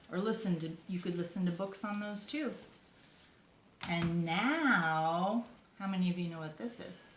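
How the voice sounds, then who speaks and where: A woman's voice: lowish voice, a little hiss or hum. Older woman reading expressively to children, echoey. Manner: expressive, drawn-out words for young listeners. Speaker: storyteller grandmother reading to kids. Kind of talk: children's read-aloud with chatty asides. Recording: echoey room.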